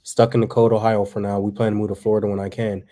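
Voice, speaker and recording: Man in his thirties, low-pitched, relaxed home-stream co-host. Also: fast talker. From Texas. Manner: relaxed and rambling, with many fillers. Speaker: livestream co-host. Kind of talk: casual chat reading viewer comments.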